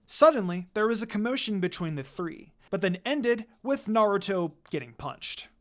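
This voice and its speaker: A woman reads fan fiction as a storyteller. Her intonation is animated and moves widely around a mid-range pitch. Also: moderate pace. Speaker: narrator. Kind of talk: scripted narration of fan fiction.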